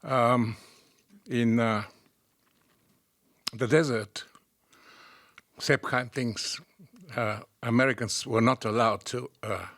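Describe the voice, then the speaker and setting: A man's voice: mid-range voice, slow pace, pauses often, fairly bright tone, no echo, studio quality. A man in his sixties from Bulgaria, recalling his past in a hesitant, matter-of-fact way with many fillers. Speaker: audience member.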